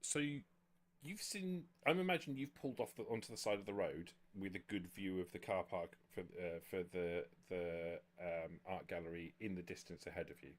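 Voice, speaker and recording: A man with a deep voice runs a tabletop roleplaying session. He explains rules and sketches scenes off the cuff, often pausing and restarting as he works out the wording. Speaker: game master. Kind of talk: improvised narration and rules talk at a tabletop roleplaying game.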